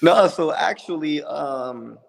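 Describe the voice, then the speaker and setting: A young man from Puerto Rico with a lisp, a wrestler answering interview questions in a relaxed, joking way with many ums. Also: on the high side, slow pace, gravelly. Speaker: wrestler, guest. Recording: long reverb.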